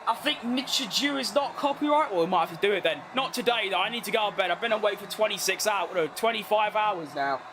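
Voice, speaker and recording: Streamer, British man, worn out after a long day, rambling and self-mocking, pitched very high. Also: animated, a little fast, hardly any pauses, background noise. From Britain. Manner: chatty, rambling, self-deprecating. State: tired from being awake all day. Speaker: gaming streamer. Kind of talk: casual live-stream gameplay chat.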